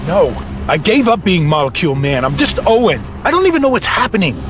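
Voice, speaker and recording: Guy playing a nervous unsure ex villain, highish voice jumping all over, music loud behind. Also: very animated. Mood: anxious, reluctant. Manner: nervous, halting, earnest. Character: excitable, insecure male reluctant ex-villain. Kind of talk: audio drama acting.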